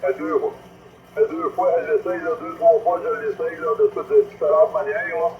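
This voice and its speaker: High, swooping Quebec man, noisy radio. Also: wildly swooping pitch, a little fast, narrow, tinny audio. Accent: Quebec French. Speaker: amateur CB radio operator. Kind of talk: casual CB radio chat.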